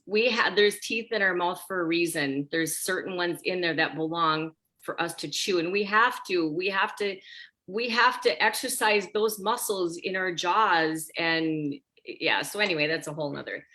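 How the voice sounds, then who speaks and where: A woman in her sixties, a women's health coach, chatting animatedly on a group video call and talking straight to the group. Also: lowish voice. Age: 60s. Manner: animated, talks straight to the group as "you guys".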